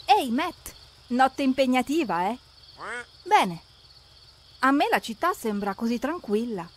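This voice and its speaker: A woman narrating a preschool cartoon in an expressive, exclamatory way, speaking to the characters. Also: high voice, measured pace, a little hiss or hum. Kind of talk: lively scripted narration for preschoolers, talking to the characters. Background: background music.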